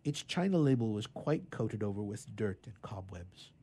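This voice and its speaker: A man narrating a children's story in an expressive, theatrical and emphatic way. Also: on the low side, normal speed, a little hiss or hum.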